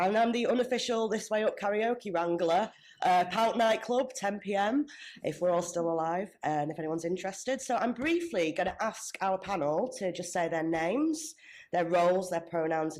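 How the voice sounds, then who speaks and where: A woman in her thirties with a low voice and a cold, a little sniffly as she chairs a conference panel. She talks in a friendly, chatty way, with plenty of ums, into a venue microphone. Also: darkish tone. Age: 30s.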